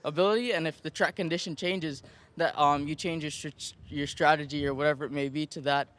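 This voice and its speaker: A man with a Jamaican accent, a jockey giving a relaxed, joking post-race interview in a soft voice. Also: a little high, brisk. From Jamaica and Florida.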